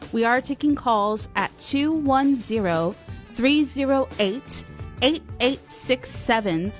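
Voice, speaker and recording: A woman co-hosting a live call-in radio show, announcing to the listeners. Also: mid-range voice. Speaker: radio co-host.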